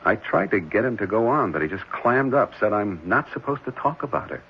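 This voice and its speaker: An actor playing a gentle, earnest married foster father in a 1970s radio drama, speaking hesitantly and reassuringly. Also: on the low side, very animated, a little fast. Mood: pensive. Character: gentle, caring married man fostering an orphan boy. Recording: muffled, noisy old radio broadcast.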